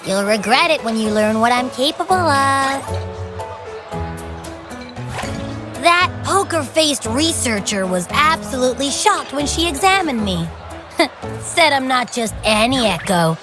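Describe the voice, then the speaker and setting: A woman's voice: high voice, animated, a little slow, over music. A woman voicing a tiny, boastful creature companion in a video game, haughty and playful in the way it talks. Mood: smug, boastful.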